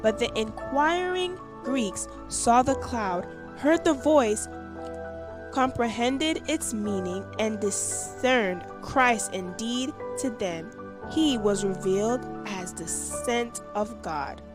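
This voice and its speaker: A woman's voice: fairly high pitch, lively intonation, slow, music in the background. A woman reading a scripted devotional passage aloud. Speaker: devotional reader.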